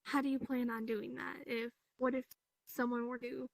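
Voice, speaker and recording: A young woman chats casually, putting questions to someone. Her voice is fairly high with heavy vocal fry, and it sounds very dry, without room echo. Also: little pitch movement, moderate pace. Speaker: interviewer asking questions. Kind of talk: casual chat. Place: online voice chat in a virtual reality game.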